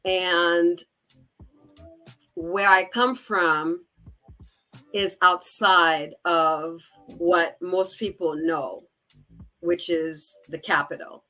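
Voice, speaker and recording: A woman in her forties with a Haitian accent answers podcast questions, speaking slowly and deliberately with a heartfelt tone. Her voice sounds bright and forceful. Faint music plays in the background. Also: on the low side, fairly even intonation, poor audio. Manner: heartfelt, deliberate, with light laughs. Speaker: podcast guest. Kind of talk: interview answers.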